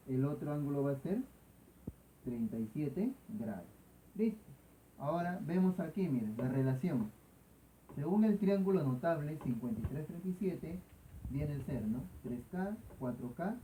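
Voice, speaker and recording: A man's voice: a little high, darkish tone, poor audio. A man teaching math in a step-by-step tutorial, explaining didactically and often checking with short rhetorical questions. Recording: clear background noise.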